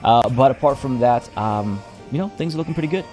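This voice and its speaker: A man, a little hoarse, chatting casually as he streams a strategy game from home and greets viewers by name. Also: mid-range voice, lively intonation, fairly quick, a little mellow, faint music behind. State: slightly hoarse. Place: home room by a window.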